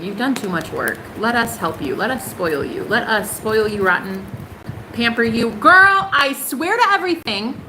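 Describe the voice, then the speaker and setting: Young woman, sassy livestream tarot reader, mid-pitched voice, steady room noise behind. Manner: sassy and emphatic, swings high for punchlines. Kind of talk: casual livestream tarot reading.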